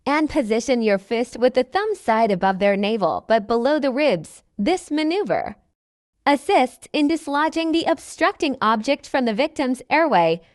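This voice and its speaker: A high-pitched woman reads prepared instructional text in a scripted narration style. Her intonation moves up and down in a lively way, and the sound is dry, with no room echo. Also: moderate pace, pauses often. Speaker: narrator of a practice lesson. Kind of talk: scripted read-aloud narration.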